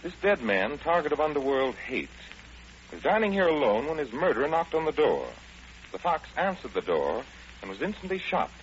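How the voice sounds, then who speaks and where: A man's voice: a little high, very animated, moderate pace. An American man with a polished, theatrical, explanatory manner, presenting and acting in 1940s radio style. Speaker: radio show host and detective lead. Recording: muffled, hissy old radio broadcast.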